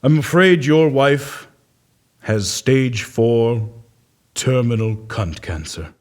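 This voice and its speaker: A man playing a grave doctor in a comedy sketch, speaking in a solemn, deliberate, deadpan way. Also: medium pitch, natural voice. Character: grave male doctor delivering absurd bad news. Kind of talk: comedy audio sketch acting.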